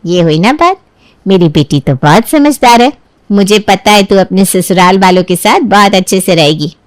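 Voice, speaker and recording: A woman's voice: medium pitch, expressive, moderate pace, no echo, lightly acted. Woman voicing older mother, reassuring, advising, soothing, storybook dialogue, dry recording. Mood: proud. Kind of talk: acted dialogue in a Hindi family storybook tale.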